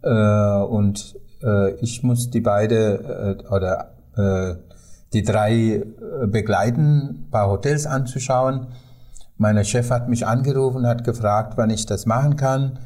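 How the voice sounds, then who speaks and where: A middle-aged or older man with a Sri Lankan accent speaks as a non-native, at a slow pace with frequent hesitations. His voice is soft-edged and mellow, in a conversational storytelling style. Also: fairly low pitch, fairly even intonation. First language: not German. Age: 50s or older. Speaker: tour guide, podcast guest.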